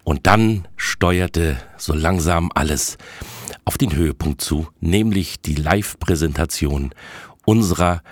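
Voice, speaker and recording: A man's voice: low-pitched, slow, no room sound. A man narrating a podcast report as presenter.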